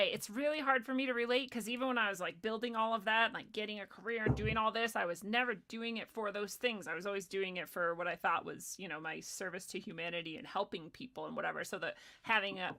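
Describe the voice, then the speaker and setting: Bright-voiced chatty woman host. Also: mid-range voice, brisk, few pauses. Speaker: podcast host. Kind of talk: casual conversation.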